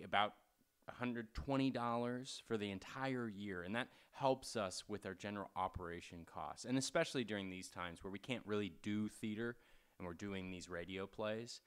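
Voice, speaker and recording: Low, smooth-voiced casual male host. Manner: informal, animated emphasis, halting. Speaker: community theater host. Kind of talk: casual unscripted thank-you and appeal.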